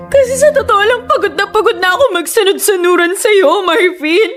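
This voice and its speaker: An actress playing a betrayed wife in a radio drama, tearful, accusing, hurt and defiant. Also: female voice, extremely high pitch, normal speed. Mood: bitter, tearful. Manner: tearful, accusing, emotional outbursts. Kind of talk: Tagalog radio drama acting. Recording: music behind the voice.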